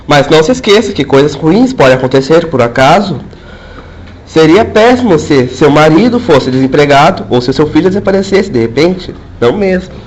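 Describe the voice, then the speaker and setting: A man's voice: on the high side, expressive, normal speed, low-quality recording. A man voicing a domineering rural landowner colonel who speaks in commanding tones and makes cold veiled threats. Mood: menacing. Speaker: colonel character.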